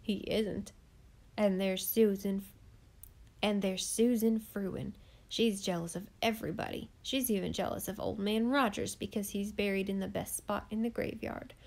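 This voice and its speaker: Brief: a woman doing a spiteful eccentric gossip for a storybook, loud, scornful, voice a touch higher than her own. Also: fairly even intonation, normal speed, gravelly. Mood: derisive. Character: sharp-tongued gossiping eccentric woman in church.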